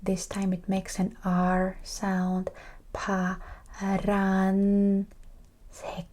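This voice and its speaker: A woman teaching a language in a breathy ASMR whisper, encouraging and repeating words syllable by syllable. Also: fairly low pitch, completely flat delivery, slow pace, darkish tone.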